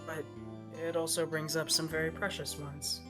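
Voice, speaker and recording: A woman's voice: sits low, little pitch movement, normal speed. A woman playfully reading game dialogue aloud among friends in a casual style. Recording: music behind the voice.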